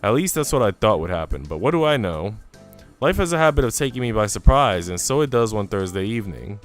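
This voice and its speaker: A man's voice: lowish voice, big pitch swings, a little fast. A man streaming, reading a visual novel aloud with casual, chatty asides. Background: music.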